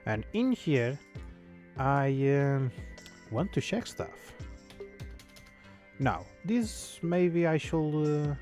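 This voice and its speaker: A man's voice: fairly high pitch, animated, very slow, pauses often, a little mellow, faint music behind. A man who is not a native English speaker, talking casually while coding a game on a live stream and muttering his thoughts aloud with many fillers. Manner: thinks aloud, mutters to himself, frequent fillers.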